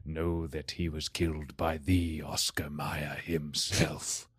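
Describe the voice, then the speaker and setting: A man's voice: extremely low pitch, level tone, slow pace, a bit bright, slightly rough, no room sound, clean studio sound, pitched below the performer's own voice, exaggerated voice. A man improvising a comedy scene as a proud, theatrical warlord of goatherds, using pompous archaic phrasing. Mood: menacing.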